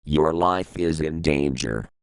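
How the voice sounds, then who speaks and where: A man playing a solemn government emergency broadcast announcer in an analog horror piece, formal and measured. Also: very deep voice, clean tone, no room sound, clean studio sound, heavily acted. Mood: ominous warning.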